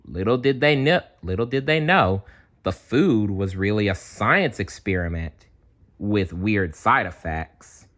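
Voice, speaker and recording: A man reading a children's storybook aloud in an expressive, playful way, with chatty asides. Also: fairly low pitch. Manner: animated, unhurried, playful.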